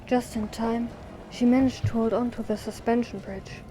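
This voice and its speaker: Female audio drama narrator, scripted storytelling delivery, mellow and slightly high, faint noise behind. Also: steady tone, moderate pace.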